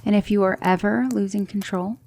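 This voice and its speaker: A woman playing a gentle, patient demonic magic mentor in an ASMR roleplay, speaking in measured, hushed instruction that slips into whispers. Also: medium pitch, fairly even intonation, vocal fry. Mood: calm, reassuring. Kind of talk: ASMR roleplay, soft speech slipping into whispers.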